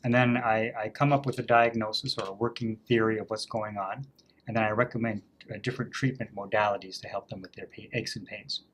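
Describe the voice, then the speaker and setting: Deepish male physician guest, steady answers full of fillers, faint background noise. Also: brisk, rough recording. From Canada.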